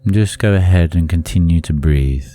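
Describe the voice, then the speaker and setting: A man leading a guided meditation in a soothing, deliberate manner, leaving long pauses between phrases. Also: deep voice, dark tone, soft background music.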